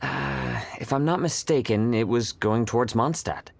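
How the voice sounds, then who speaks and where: Gravelly, helpful roadside local. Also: male voice, medium pitch, moderate pace, no echo. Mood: thoughtful. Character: casual, helpful male roadside townsman. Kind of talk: video game cutscene voice acting.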